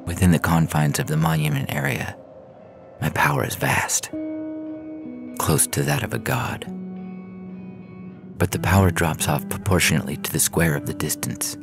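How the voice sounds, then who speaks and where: Very deep, gravelly man reading science fiction. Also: expressive, normal speed, long pauses. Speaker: fiction podcast narrator. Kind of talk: scripted first-person science fiction story reading. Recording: music bed under the reading. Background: music.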